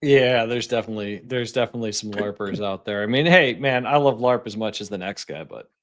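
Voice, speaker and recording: A man co-hosting a podcast, easygoing and agreeable, thinking out loud in casual chat. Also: fairly low pitch, fairly quick. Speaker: podcast co-host.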